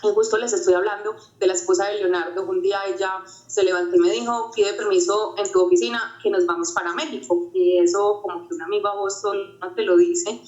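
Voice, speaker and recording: A woman's voice: medium pitch, a little mellow. A woman giving an earnest, reflective motivational talk about her own life, often repeating her phrases. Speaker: motivational speaker. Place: home during quarantine. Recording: roomy, dull lo-fi sound.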